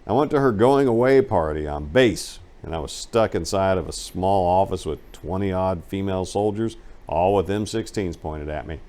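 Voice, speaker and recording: A man narrating a first-person memoir as a dramatic reading, with emphatic storytelling and some drawn-out phrases. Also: low-pitched, a little mellow. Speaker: narrator reading a book aloud.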